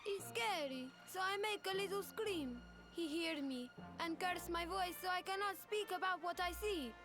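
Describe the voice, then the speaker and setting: Very high-pitched little boy. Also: over music. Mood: earnest. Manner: earnest, simple halting English. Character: earnest, excitable little Italian-styled boy. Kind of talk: video game voice acting.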